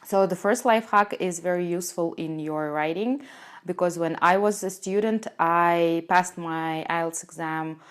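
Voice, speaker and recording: A woman's voice: on the low side, unhurried, clean tone. A woman in her twenties whose first language is Russian, giving earnest step-by-step study tips to camera, with frequent "uh" fillers, recorded on a lapel mic in a small room. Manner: earnest, explains step by step with non-native phrasing and frequent "uh" fillers. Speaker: IELTS teacher and channel host. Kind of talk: casual to-camera tips video. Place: small indoor room with a door behind.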